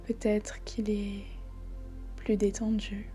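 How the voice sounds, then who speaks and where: A woman leads a guided meditation very slowly, with long pauses between short phrases. Her voice is soft, breathy and dark-soft, often slipping near a whisper, and stays quite even in pitch. She sounds calm and soothing. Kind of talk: guided body scan meditation. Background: faint background music.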